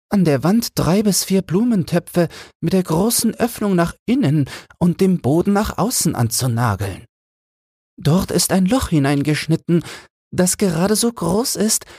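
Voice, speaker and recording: A man's voice: fairly high pitch, darkish tone, breathy edge, no room sound, clean studio sound, pitched above the performer's own voice. A man voicing a self-satisfied, comfort-loving female sparrow who prattles fussily in a fairy-tale audiobook. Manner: fussy, prattling.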